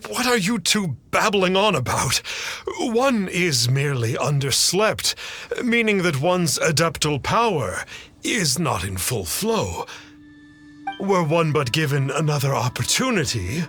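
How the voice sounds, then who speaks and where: Pompous boasting bluffer, gravelly, bright, lively pitch, game music behind. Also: male voice, measured pace, full character voice. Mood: haughty. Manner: pompous, refers to himself as "one". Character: male adeptus disguise, pompous and boastful, a bluffer. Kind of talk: video game voice acting.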